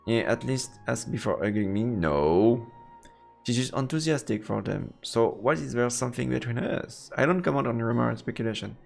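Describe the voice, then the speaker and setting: Male streamer, lively intonation, playful, reading game text aloud, roomy reverberant sound, music behind. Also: medium pitch, normal speed, vocal fry. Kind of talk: live let's play commentary, reading game text aloud.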